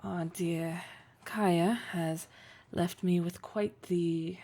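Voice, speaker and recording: Casting: actress as commanding female executive for roleplay audio, formal, flirtatious, condescending; gravelly fry, unhurried delivery. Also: fairly low pitch, steady tone, dark tone, heavily acted. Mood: businesslike, wry. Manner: formal, measured, condescending, teasing, with deliberate pauses. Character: poised, flirtatious, domineering woman boss and startup investor. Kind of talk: roleplay audio drama addressed to the listener.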